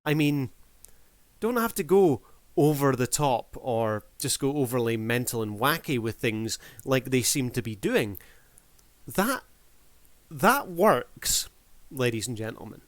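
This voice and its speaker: A man's voice: mid-range voice, expressive, unhurried, no room sound. Showman-like man giving casual review commentary. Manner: showman-like, addresses audience formally. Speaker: host reviewing videos.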